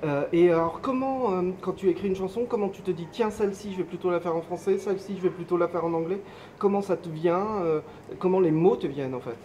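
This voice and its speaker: A woman's voice: lowish voice, quick pace, mellow, a little hiss or hum. A woman interviewer asking questions casually and hesitantly, building each one up gradually.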